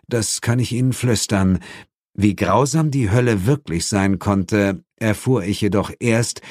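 Low-pitched, slow male audiobook narrator. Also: a little mellow, no room sound, clean studio sound. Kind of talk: dramatic first-person scripted narration.

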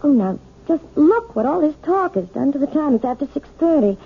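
An actress playing a timid, proper wife who gives piano lessons, speaking gently and nervously in a 1970s radio drama. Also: female voice, high-pitched, big pitch swings, fairly quick, gravelly, heavily acted. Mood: nervous, prim. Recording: tinny, narrow old radio broadcast.

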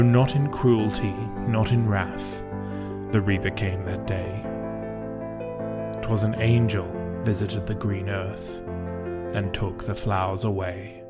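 A man reading poetry in a solemn, measured and deliberate way, as a scripted recitation. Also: fairly low pitch. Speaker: poetry podcast reader. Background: music.

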